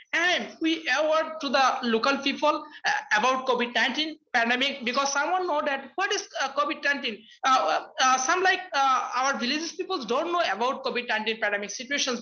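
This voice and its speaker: High bright Bangladeshi woman, halting. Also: dull audio. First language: Bengali. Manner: hesitant, many uh and um fillers, restarts. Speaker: webinar panelist. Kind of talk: halting spoken remarks in a webinar. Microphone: video call.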